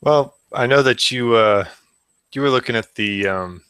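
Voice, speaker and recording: A man co-hosting a podcast, speaking in a halting way and repeating words as he works out his thoughts. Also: mid-range voice, a bit bright, clean tone, no room sound, clean studio sound. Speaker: podcast co-host. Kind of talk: casual podcast chat.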